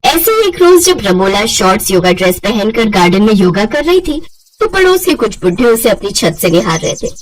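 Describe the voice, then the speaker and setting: A woman's voice: medium pitch, sing-song, normal speed. A woman narrating a scripted moral story as its storyteller.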